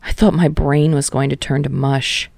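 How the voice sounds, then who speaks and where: A woman's voice: a bass voice, flat delivery, moderate pace, gravelly, a little mellow, dry recording, full character voice. A woman puts on a good-natured teenage boy for an audiobook. He sounds casual and a bit sheepish, in a close, dry recording. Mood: relaxed, teasing. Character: easygoing, sheepish teenage boy struggling with math. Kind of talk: acted dialogue in fan fiction audiobook.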